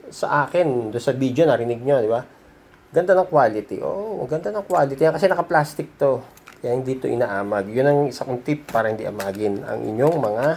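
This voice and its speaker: Male Filipino vlog host, casual show-and-tell, unhurried with some fry, noticeable noise behind him. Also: mid-range voice, darkish tone. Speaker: host of a vintage audio video channel.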